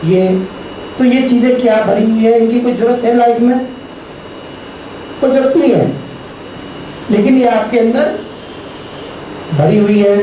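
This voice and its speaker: A middle-aged man giving a spiritual lecture to a class, teaching deliberately and posing rhetorical questions. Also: extremely high pitch, fairly even intonation, warm tone. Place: large echoey classroom. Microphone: distant room mic. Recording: very echoey, distant, noisy room recording.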